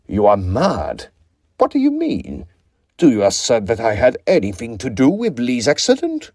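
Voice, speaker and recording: An actor playing a sinister scholar villain in a period audio drama, mock-polite and theatrical. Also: male voice, mid-range voice, huge pitch swings, moderate pace, darkish tone, no room sound. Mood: affronted denial. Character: sinister, falsely courteous young male scholar villain.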